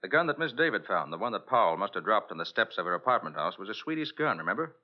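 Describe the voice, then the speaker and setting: Commanding radio detective: low voice, very animated delivery, dull vintage recording. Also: male voice. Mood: inquisitive. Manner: brisk, inquisitive, authoritative. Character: brisk, commanding male private detective. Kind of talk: 1940s radio drama acting.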